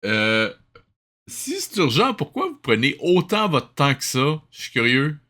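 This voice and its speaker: A man's voice: fairly high pitch, wildly swooping pitch, a little slow, bright, forceful tone, slight background noise. A man with a Quebec French accent chatting casually and sarcastically as he reacts to a cartoon as host. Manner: teasing, sarcastic. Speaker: host of a watch-along reaction show. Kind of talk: casual chat, live commentary over a cartoon.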